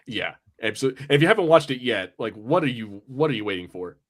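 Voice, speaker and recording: A man's voice: mid-range voice, lively intonation, fast, a bit bright. A man hosting a film podcast in casual banter, joking and swearing freely in an informal way. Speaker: podcast host.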